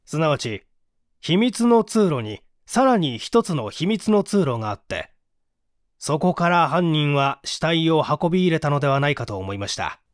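Man narrating fiction, animated higher-pitched voice. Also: normal speed, dry recording, clean studio sound. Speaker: audiobook reader and small-theatre actor. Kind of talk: dramatic scripted narration of a mystery story.

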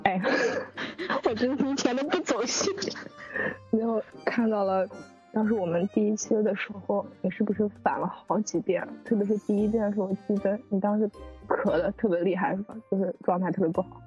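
A woman talks casually in a group chat as one of a production team. She gushes and repeats herself for emphasis. The sound is slightly dull, with soft music underneath. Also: medium pitch, brisk. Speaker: audio drama production staff. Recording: muffled online voice chat, music behind. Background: background music.

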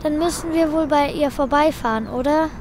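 A woman's voice: sits high, normal speed, a little mellow, a little hiss or hum, close to an everyday voice. A woman playing an easygoing, eager and naive young boy detective in an audio drama.

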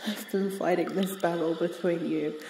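A woman performing a sorrowful, forgiving woman in an audio drama, soft and tearful, speaking in a halting, earnest and tender way. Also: on the low side, steady tone, gravelly, voice pushed down. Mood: tender, pleading. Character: sorrowful, forgiving woman reconciling with her wounded past self. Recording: roomy, distant mic, faint noise.

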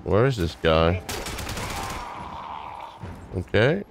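Low-voiced man playing a video game. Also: sing-song, extremely slow, creaky, noisy background. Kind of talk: casual let's play gaming commentary. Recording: game music and gunfire behind. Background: game music and gunfire.

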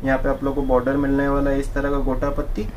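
A young man selling sarees wholesale in a shop, running a brisk sales pitch and repeating the prices in English. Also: completely flat delivery, muffled recording, a little hiss or hum. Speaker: saree seller. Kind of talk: wholesale product showcase. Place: indoor saree shop floor.

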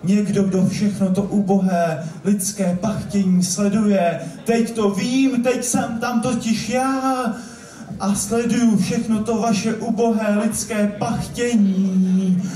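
A man's voice: high-pitched, steady tone, mellow. A young man performing slam poetry on a club stage in a theatrical, declamatory manner, repeating words for emphasis. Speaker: slam poet. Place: club stage at a live competition. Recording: echoey hall, distant stage microphone.

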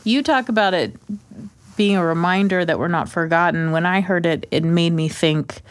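A woman's voice: on the low side, moderate pace, dry recording. A woman talking reflectively and earnestly in an unscripted radio discussion, with an encouraging manner.

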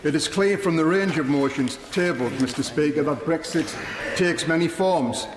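A middle-aged man delivers a prepared speech to a large debating chamber. His voice sits slightly high and stays earnest and measured. The room adds a roomy echo and a long reverb tail. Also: a crowd in the background. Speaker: member of parliament.

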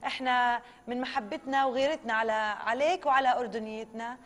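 A woman's voice: fairly high pitch, fairly even intonation, quick pace, fairly bright tone. A woman co-hosting a TV talk show, speaking with enthusiasm and praise. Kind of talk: casual talk show chat. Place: large studio set, indoors.

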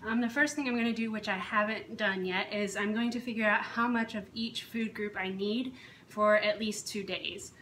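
A woman's voice: mid-range voice, fairly even intonation, moderate pace, pushed and bright. Woman vlogging in an echoey kitchen. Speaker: fitness coach. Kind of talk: casual how-to vlog, explaining to camera. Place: home kitchen, indoors. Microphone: camera a few feet away. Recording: echoey kitchen, distant mic, faint hiss.

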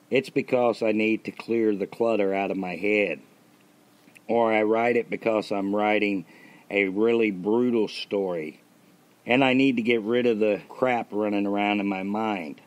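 A man reads a story aloud in a fairly low voice, with long reverb trailing his words. He sounds like a host narrating his own writing. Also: fairly even intonation, unhurried. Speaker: host reading own stories. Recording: long reverberant hall-like echo.

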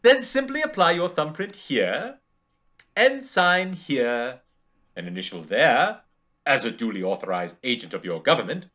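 Pompous chief, wildly animated. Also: male voice, medium pitch, a little slow. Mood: smug, evasive. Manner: theatrical, pompous, formal. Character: smooth-talking, pompous, scheming male chief. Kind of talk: comic space-adventure radio acting.

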